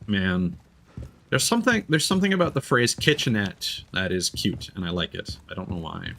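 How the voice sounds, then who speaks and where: A man streaming a video game, talking through it in an expressive, playful way with casual swearing. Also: sits low, normal speed, vocal fry, slight background noise. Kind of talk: gameplay stream commentary with dramatic reading aloud of in-game documents.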